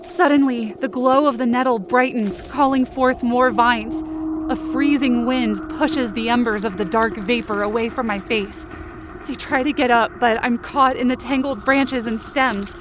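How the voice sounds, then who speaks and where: A woman's voice: fairly high pitch, fairly even intonation, a little slow, background noise, close to an everyday voice. A woman playing a frightened but determined young woman, telling a supernatural ordeal in tense, breathless first-person audio fiction. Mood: fearful, tense. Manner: tense, breathless, intense present-tense storytelling. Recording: music bed under the voice. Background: dramatic music.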